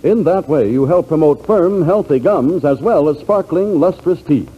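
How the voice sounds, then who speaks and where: Lively American 1940s radio announcer, male. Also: on the high side, moderate pace, breathy edge. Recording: dull, faintly noisy 1940s radio recording.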